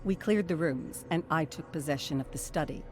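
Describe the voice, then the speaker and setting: An actress as low-voiced woman expedition leader. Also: brisk, natural voice. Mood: composed, reflective. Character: composed woman expedition leader reading her dated journal. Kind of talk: scripted dramatic journal narration. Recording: music behind the voice. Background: music.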